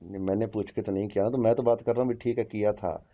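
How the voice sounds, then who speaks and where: A man's voice: fairly low pitch, fairly even intonation, quick pace, lightly acted. A man playing an evasive older father-in-law in a dramatized phone call, stammering and repeating himself as he defends himself. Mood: arguing. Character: evasive older father-in-law dodging blame, pleading and cajoling. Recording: dull lo-fi phone-call audio.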